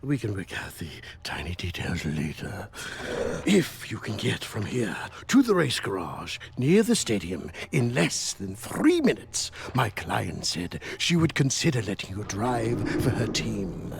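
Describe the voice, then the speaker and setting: An actor playing a sly, oily male crime boss with a breathy wheeze in an animated video game. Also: lowish voice, a little slow, crowd noise, exaggerated voice. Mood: smug menace. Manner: oily, insinuating, chuckling menace. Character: sly, menacing crime boss, male, smugly wheeling and dealing.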